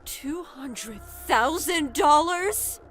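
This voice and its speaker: A woman voicing a gentle, apologetic, sickly mother with a soft, concerned manner in a dramatized story. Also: high-pitched, lively intonation, normal speed, soft background music.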